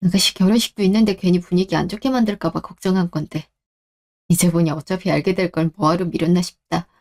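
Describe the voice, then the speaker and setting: A woman playing a gentle, apologetic young wife who speaks politely and softly in a radio drama. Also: on the low side, fairly even intonation, fast talker, lightly acted. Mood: resigned, understanding. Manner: soft-spoken, conciliatory, polite. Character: gentle, apologetic young married woman, a patient daughter-in-law. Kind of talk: Korean radio drama acting.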